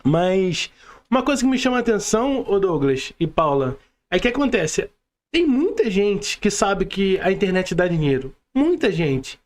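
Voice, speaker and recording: High-pitched Brazilian male podcast host. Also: big pitch swings, moderate pace. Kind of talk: casual conversational interviewing.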